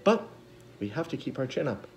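A man voicing a steady, caring teenage girl in a storybook reading. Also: medium pitch, brisk, creaky. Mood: sad.

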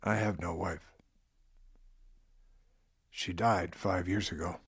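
A man playing a gruff, irritable estate manager with a blunt, grumbling manner in an audio drama. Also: low-pitched, steady tone, takes it slowly, fairly bright tone, dry recording, studio quality, full character voice. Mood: subdued, grieving.

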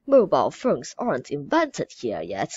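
Lady reading as an eager little girl, husky voice, slow and lively. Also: low voice, no room sound, dull audio, full character voice.

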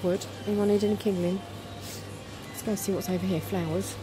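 A woman's voice: mid-range voice, fairly even intonation, brisk. Chatty woman touring a shop. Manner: enthusiastic, chatty, exclaiming. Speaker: vlogger touring a farm shop. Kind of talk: casual handheld shop tour. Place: large indoor farm shop. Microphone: handheld phone camera. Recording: loud background music.

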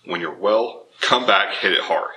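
A man in his thirties giving informal, animated, emphatic instruction to camera as a martial arts teacher. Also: sits low, a little slow, slight background noise, poor audio. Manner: animated, emphatic, acts out imagined objections. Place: indoor dojo with bare walls and wood floor.

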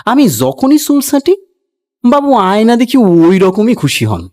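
A man's voice: high voice, huge pitch swings, unhurried, a little mellow, voice pushed up, exaggerated voice. A man voicing a proud, smirking veteran village barber in a storybook tale, boastful and mocking.

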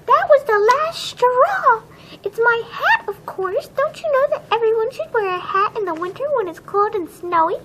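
Woman voicing a very high-pitched little indignant hedgehog. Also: lively intonation, moderate pace, heavy background noise. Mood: exasperated. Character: small indignant male hedgehog, proud and stubborn. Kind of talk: children's storybook read-aloud.

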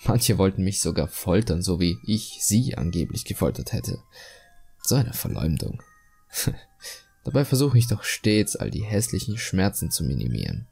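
Man acting a gravelly, smug sculptor killer. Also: sits low, very animated, a little mellow, soft background music, full character voice. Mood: coolly self-righteous. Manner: measured, confiding, coolly self-righteous. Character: self-satisfied murderous male sculptor obsessed with beauty, calmly cruel. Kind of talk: German creepypasta horror monologue, dramatic reading.

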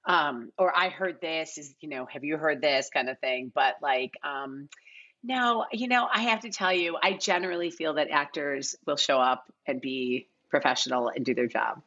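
A woman's voice: deep voice, moderate pace, fairly bright tone. A middle-aged woman chatting casually as a podcast guest, in a conversational way peppered with "like" and "you know". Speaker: guest, casting director. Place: large living room at home, indoors. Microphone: video call, no close mic seen. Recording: slightly dull video-call audio.

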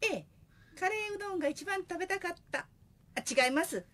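Bright, high-voiced eager young daughter acted by a woman. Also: animated, brisk. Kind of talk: comic radio drama.

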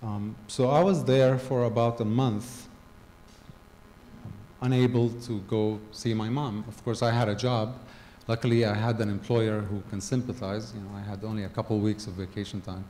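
Middle-aged man from Gaza, conference talk, low mellow voice, halting delivery, handheld mic in a big echoing hall. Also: fairly even intonation, a little hiss or hum. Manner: halting, many ums and restarts. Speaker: conference speaker. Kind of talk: personal storytelling talk. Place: large hall with a stage. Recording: long hall reverb, faint ambience.

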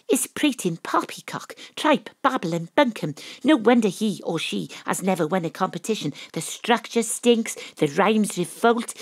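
Here is an actress playing a female private detective, wry and self-mocking, telling her case in an audio drama. Her voice is husky and recorded very dry, and she relishes every word with theatrical flair. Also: mid-range voice, dry recording, lightly acted. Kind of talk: first-person detective audio drama narration and dialogue.

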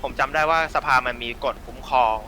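Fast, sharp-voiced man in roleplay chat. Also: mid-range voice, a little hiss or hum. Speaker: gang boss player in a game roleplay.

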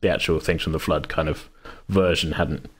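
Male game master, deep voice, informal podcast chat, loose and rambling delivery. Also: steady tone, normal speed, husky. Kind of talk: casual chat about a tabletop game.

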